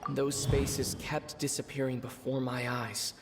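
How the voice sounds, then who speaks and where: A man voicing a pensive young traveler in an anime video game, musing in a deliberate, hesitant way. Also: medium pitch, little pitch movement, over loud music, natural voice. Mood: pondering. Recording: long reverb, clearly noisy game audio.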